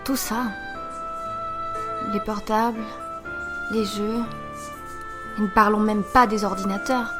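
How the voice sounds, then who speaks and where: A woman's voice: mid-range voice, steady tone, slow pace, long pauses, close to an everyday voice. Woman acting a sulky, despondent teenage girl, music behind. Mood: bitter, discouraged. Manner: sulky, defensive, discouraged. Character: moody, despondent teenage girl who lost her sight. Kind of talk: amateur audio drama acting.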